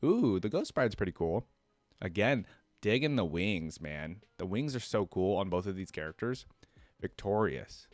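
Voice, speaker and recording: A man's voice: low voice, sing-song, unhurried, darkish tone, no room sound, soft background music. A man hosting a reaction video, commenting casually and informally, thinking aloud as he browses and reads.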